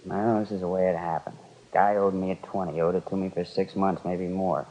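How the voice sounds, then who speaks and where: A man's voice: sits low, flat delivery, fairly quick, warm tone. A man playing an uneasy American petty thief under questioning in a 1950s radio drama, hesitant, colloquial and defensive. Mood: reluctant. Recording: dull, reverberant vintage radio recording.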